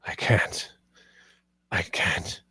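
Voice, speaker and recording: An actor at a script table read playing a grief-stricken, dying father, resolute but failing, with halting, strained speech. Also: sharp tone, no room sound, studio quality, heavily acted. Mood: weak, dying. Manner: halting, strained, breaking into near whispers.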